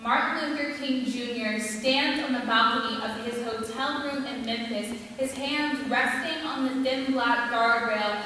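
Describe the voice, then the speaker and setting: A teenage American girl performing slam poetry with dramatic, deliberate, emphatic delivery. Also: fairly high pitch, bright, forceful tone, a little hiss or hum. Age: teenager, high school sophomore. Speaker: student poet. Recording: very echoey hall, distant microphone.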